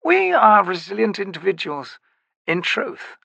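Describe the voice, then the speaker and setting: A man's voice: high voice, very animated, measured pace, gravelly, sharp tone, no room sound, pitched above the performer's own voice, heavily acted. A man voicing a suave, cold bearded male sorcerer with a strange foreign accent, speaking in polished, courtly phrases. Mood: cool polite.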